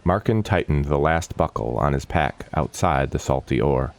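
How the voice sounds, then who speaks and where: A man narrating a scripted dark fantasy story in a measured, solemn and dramatic manner. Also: very deep voice, lively intonation, gravelly. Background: background music.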